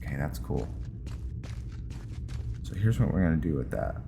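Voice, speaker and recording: A man's voice: extremely low pitch, very animated, normal speed, gravelly, noisy background. A man giving casual let's play commentary, thinking aloud in short, muttered reactions as he plays. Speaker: gaming video host. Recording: loud music under the voice. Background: loud game music.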